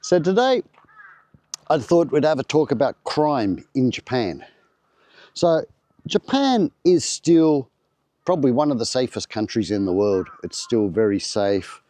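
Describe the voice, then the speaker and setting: A man's voice: medium pitch, sing-song, unhurried, warm tone, no room sound. An older Australian man chatting casually to his vlog audience. He rambles along with lots of fillers and restarts, and his voice still sounds slightly off from the flu. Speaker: vlogger. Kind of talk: casual chat to camera. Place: at his home by a greenhouse.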